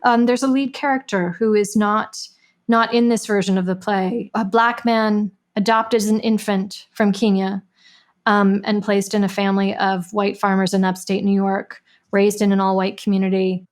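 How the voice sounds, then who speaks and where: A woman with a medium-pitched voice talks reflectively. She feels her way through sentences, stopping to repeat and reshape phrases as she goes. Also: little pitch movement. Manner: halting, searching for words, repeats phrases. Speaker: member of a play's creative team. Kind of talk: reflective discussion.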